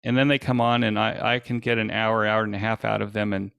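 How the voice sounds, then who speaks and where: A man with a gravelly voice answering questions informally as a presenter, explaining things with frequent hesitant pauses. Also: on the low side, level tone, fairly bright tone, dry recording. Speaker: presenter and podcast host.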